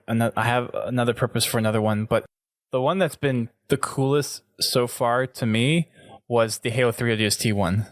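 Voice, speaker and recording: A man's voice: medium pitch, dry recording. Dry-sounding man hosting a podcast. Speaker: podcast host. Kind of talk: casual gaming podcast chat.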